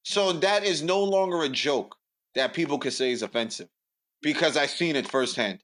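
A man's voice: a little high, expressive, moderate pace, fairly bright tone. A young man, telling stories bluntly and building up jokes on a podcast. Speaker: podcast co-host. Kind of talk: casual storytelling chat.

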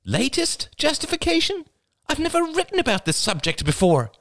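A woman's voice: high voice, huge pitch swings, moderate pace, fairly bright tone, no room sound, clean studio sound, pitched above the performer's own voice, exaggerated voice. A man voicing an anxious young woman writer who stammers and gets defensive. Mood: indignant.

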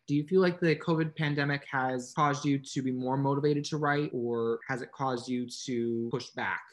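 Guy asking long formal interview questions, mid voice, calm and measured. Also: mid-range voice.

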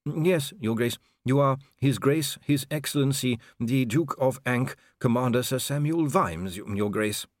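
Man doing a fussy, raised-pitch clerk. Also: unhurried, hardly any pauses, no room sound, studio quality, natural voice. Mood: deferential, careful. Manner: hesitant, pedantic, formal. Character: fussy, deferential male diplomatic clerk.

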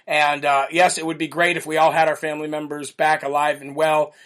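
Loud, rambling man hosting a livestream. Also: a little high, little pitch movement, a little fast. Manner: rambling, loose, with many fillers and restarts; self-described loud voice. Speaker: host of a live political show. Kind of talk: casual livestream talk, reading viewer comments.

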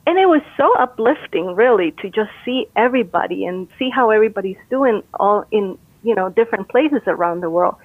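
A woman's voice: medium pitch, expressive, a little fast, hardly any pauses, no echo. A woman from Costa Rica, a brain health expert, giving upbeat, encouraging advice in a casual interview over a call. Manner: upbeat, encouraging, laughs easily. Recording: tinny phone line.

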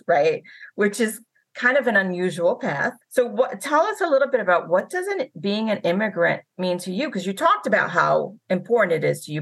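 A woman hosting a podcast interview, relaxed and loose with her words. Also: on the low side, no room sound. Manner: relaxed, hedging with "kind of". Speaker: podcast host. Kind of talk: casual interview.

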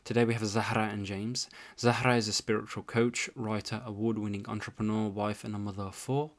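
A man's voice: lowish voice, level tone, fairly quick. A man hosting a podcast, reading a scripted guest introduction and then asking eager follow-up questions. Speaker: podcast host. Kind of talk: podcast interview.

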